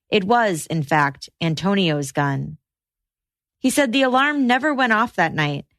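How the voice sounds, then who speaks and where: A woman reading scripted true-crime podcast narration in a measured, matter-of-fact way. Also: fairly low pitch, a bit bright, no echo, clean studio sound.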